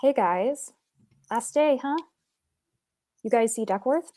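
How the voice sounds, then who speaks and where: A woman's voice: fairly high pitch, slow pace, heavy background noise. A woman playing a tentative, conciliatory young woman at camp in a live table read over an online call.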